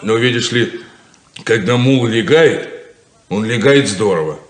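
An actor playing a stern, practical grown son in a 1970s radio play, scolding and sardonic. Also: male voice, medium pitch, unhurried, natural voice. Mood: dry. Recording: roomy, noisy old radio recording.

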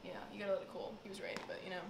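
A woman's voice: mid-range voice, level tone, rapid-fire, vocal fry, very noisy. A young woman guesting on a casual cooking vlog in a home kitchen, joking and rambling through stories with lots of slang. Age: young adult. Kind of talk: casual chat. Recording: echoey room with music.

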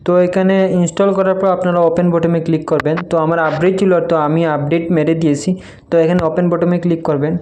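A man's voice: a little high, flat delivery, fast talker, a little mellow. A man explains a phone app step by step in an informal, repetitive instructional way. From Barak Valley, Assam, India. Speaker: tutorial video creator. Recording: faint background noise.

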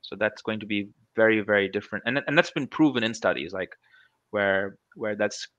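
A man's voice: on the low side, a little fast, a bit bright, no echo, highs cut off. A man in his thirties, a guest researcher on a video call interview, speaking thoughtfully with many restarts and fillers. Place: small room at home. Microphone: headset.

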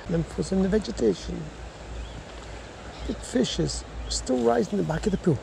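A man's voice: sits high, normal speed, vocal fry. A man giving casual, murmured running commentary as he fishes, breaking into exclamations, recorded on a body-worn camera outdoors. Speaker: angler and vlogger. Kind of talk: casual first-person fishing commentary. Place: outdoors, wading in a small river. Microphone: body-worn action camera. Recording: outdoor action camera, constant water noise. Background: running river water.

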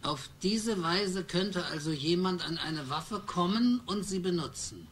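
An insistent questioner. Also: male voice, high voice, lively intonation, unhurried, a bit bright, a little hiss or hum. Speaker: investigating protagonist. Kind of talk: German radio play dialogue.

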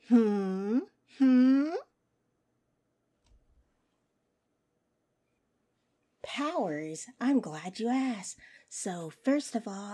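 Woman acting as playful imp girl, coy teasing, obsessively smitten, unhurried pace, swooping intonation, roleplay audio. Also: fairly high pitch, mellow, husky, exaggerated voice. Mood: coy, boastful. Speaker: voice actress.

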